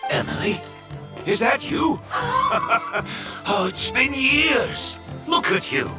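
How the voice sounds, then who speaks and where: An actor playing a genial, modest older perfume master and fond mentor in a video game, speaking expressively. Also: male voice, extremely high pitch, huge pitch swings, takes it slowly, music in the background, full character voice. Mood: delighted.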